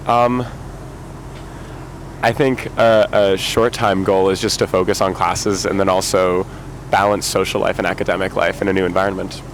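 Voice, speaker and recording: A man's voice: deep voice, level tone, moderate pace, a little hiss or hum, low-quality recording. An eighteen-year-old male freshman answering thoughtfully, recorded outdoors on campus. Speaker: first-year student.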